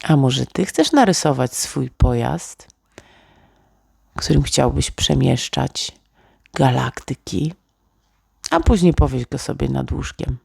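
A woman's voice: very deep voice, lively intonation, takes it slowly, creaky, pauses often, dark tone, slightly rough, no room sound, clean studio sound. A woman reading a children's bedtime story as a scripted narrator.

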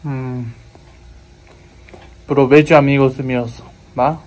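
A man's voice: mid-range voice, little pitch movement, slow, a little mellow, poor audio. A young man from Japan, speaking with a Japanese accent, chats casually in a vlog, repeating words and calling his viewers friends. He films himself on a phone held at arm's length. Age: young adult. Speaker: vlogger. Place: city street outdoors, then indoors at a table. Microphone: wireless earbuds, phone at arm's length. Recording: street noise behind.

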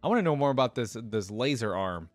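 A man's voice: mid-range voice, lively intonation, brisk, dry recording. A young adult man reading a story aloud with casual commentary into a close desk microphone.

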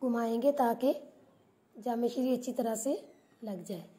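A woman hosting a cooking channel, calmly narrating a recipe step by step as a voice-over. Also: a little high, measured pace. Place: home kitchen.

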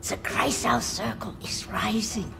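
An actress playing a calm, resolute woman guiding a comrade in hushed, breathy, deliberate tones for a video game. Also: medium pitch, over music, heavily acted. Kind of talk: dramatic video game acting.

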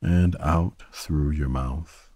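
A man with a very low, mellow voice guides a bedtime meditation. He speaks gently and soothingly, letting each phrase settle before the next. The sound is close and very dry. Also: breathy edge, no echo. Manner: gentle, soothing, unhurried delivery with long pauses. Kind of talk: guided sleep meditation narration.

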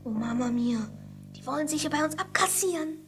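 A woman's voice: high-pitched, expressive, brisk, music in the background. A woman playing a worried young Italian boy, the innkeeper's son, in a German audio drama.

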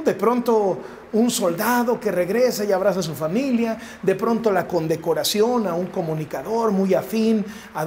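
A man's voice: high-pitched, a little mellow, a little hiss or hum. A man in his forties with a Mexican accent, giving emphatic political commentary straight to camera and repeating phrases for effect. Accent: Mexican Spanish. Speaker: news commentator. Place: wood-paneled indoor set. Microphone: lapel mic, framed to camera.

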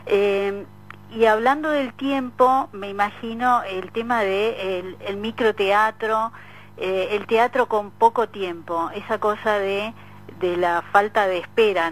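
A woman's voice: medium pitch, poor audio. A woman with a Rioplatense Argentine accent, speaking as a radio host in a casual interview with a guest.

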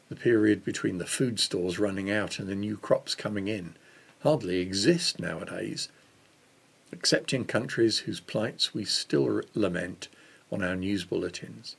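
Older guy in his 60s reading from a church book, measured, raspy n creaky. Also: fairly low pitch. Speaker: reader of a church Lent devotional. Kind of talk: reading a devotional book aloud.

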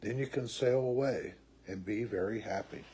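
A man voicing a gentle, loving female tree in a children's storybook, slow, inviting and generous. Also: fairly low pitch, slight background noise, natural voice. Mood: gentle, giving.

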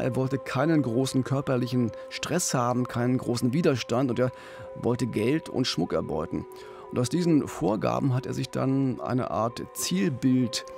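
A man's voice: medium pitch, creaky. A middle-aged man telling a crime story conversationally as a podcast host, close on a studio mic. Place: small radio studio. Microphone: close studio boom mic with pop filter.

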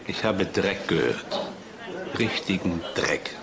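An actor playing a stern, controlling middle-aged father in a German crime radio drama, speaking curtly and haltingly. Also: low voice, expressive, crowd noise, heavily acted. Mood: stern, gruff. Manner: slow, curt, halting. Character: stern, controlling, grieving father of a grown daughter.

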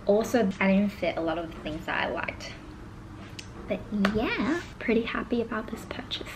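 A woman's voice: medium pitch, animated, normal speed. A woman, chatting casually to camera as a vlogger at home. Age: 20s. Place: indoors at home, living room or bedroom. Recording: noticeable background noise.

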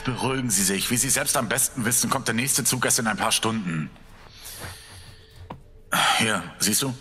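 Man playing a blunt young guy, low but bright, long echo. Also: normal speed. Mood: determined. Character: determined young man searching for his friend. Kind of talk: audio drama acting.

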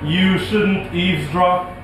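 Casting: mild husband, high voice, measured and hesitant, echoey distant theatre recording. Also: male voice, fairly even intonation, over music. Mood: pedantic. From Jamaica. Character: mild, educated, evasive middle-class husband. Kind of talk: comedic stage play acting. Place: theatre stage before an audience.

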